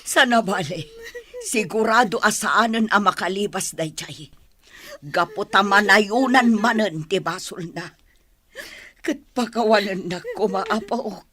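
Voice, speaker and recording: A woman's voice: medium pitch, a little slow, fairly bright tone, close to an everyday voice. An actress playing a doting, stubborn old grandmother with an insistent manner in an Ilocano radio drama. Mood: pleading, plaintive.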